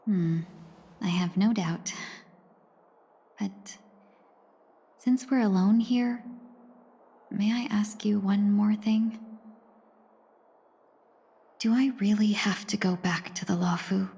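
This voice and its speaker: A woman acting a graceful, composed young woman in a video game, with polite, measured phrasing and a wry, quietly resolute temper. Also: mid-range voice, husky. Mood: pensive, troubled. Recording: long reverberant hall echo.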